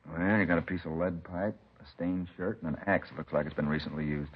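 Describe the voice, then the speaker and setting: A man's voice: sits low, steady tone, a little fast, slightly rough. An actor playing a methodical police crime lab man in a vintage radio drama, laconic and matter-of-fact. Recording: muffled vintage radio recording.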